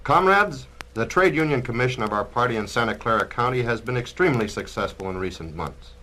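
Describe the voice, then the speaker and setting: A man plays a self-important communist trade unionist in a record drama. His voice is bright and forceful, at a middle pitch. He holds forth like a pompous orator, boastful about his work. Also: lively intonation, brisk, slight background noise, rough recording. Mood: proud, confident. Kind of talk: dramatized spoken-word record acting.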